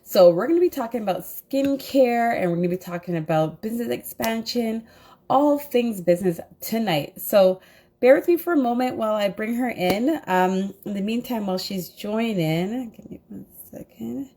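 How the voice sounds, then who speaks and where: A woman's voice: a little high, animated, normal speed, mellow. A woman hosting a casual live-stream chat over a video call, stuffy from a cold and talking in a chatty way with lots of fillers. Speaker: host.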